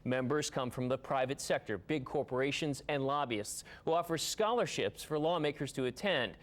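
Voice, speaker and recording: A man's voice: mid-range voice, moderate pace. A man with an American accent reporting as an investigative television news reporter in a scripted style.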